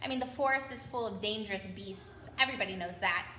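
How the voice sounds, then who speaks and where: Woman reading as an officious teenage boy prefect, bright. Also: medium pitch, fast, reverberant, slight background noise, close to an everyday voice. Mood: puzzled. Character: earnest bossy teenage boy school prefect. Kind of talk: children's storybook character reading.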